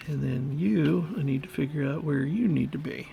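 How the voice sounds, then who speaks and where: A man's voice: fairly high pitch, moderate pace, creaky, warm tone, soft background music. A man chatting casually and thinking aloud as he tinkers, muttering to himself and to the thing he is repairing. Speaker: hobbyist repairer of vintage tape recorders.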